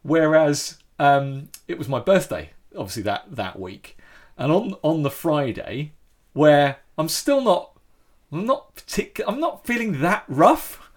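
A man's voice: fairly high pitch, sing-song, measured pace. A man telling a story in casual chat, with frequent restarts.

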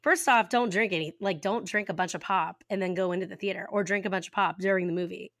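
Giggly raspy woman podcast host. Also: mid-range voice, fairly even intonation, fast talker, a bit bright, dry recording, studio quality. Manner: giggly, rambling storyteller who laughs often. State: hoarse with a lingering cough. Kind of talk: casual chat.

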